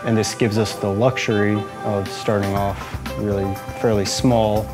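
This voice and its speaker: A man in his thirties at a brewery, answering interview questions earnestly and haltingly, often repeating words. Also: lowish voice, flat delivery, music in the background. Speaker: brewery manager. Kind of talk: interview and plant tour.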